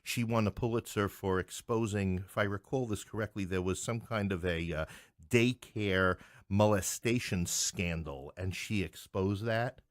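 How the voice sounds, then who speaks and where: Middle-aged man from Queens, lowish pitch, dry sound, chatty interview guest who digresses and jokes wryly. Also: unhurried, no room sound. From Queens, New York City. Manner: talkative, digressive, wryly joking. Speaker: interview guest, playwright and English professor. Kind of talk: anecdotal interview conversation.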